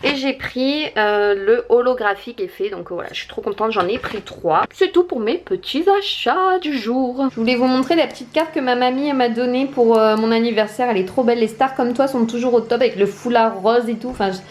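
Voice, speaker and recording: A woman's voice: on the high side, a little fast, hardly any pauses, slight background noise. A woman in her late twenties, vlogging at home by a window, chatty and enthusiastic with many fillers. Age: 20s. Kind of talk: casual haul vlog.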